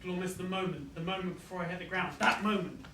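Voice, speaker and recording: A man's voice: sits high, fast talker. An actor playing a grieving father. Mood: hushed. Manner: hushed recollection breaking into anguished shouts. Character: grieving father of a killed girl, raw and haunted. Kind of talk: stage drama monologue. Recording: echoey stage from a distant mic, noisy.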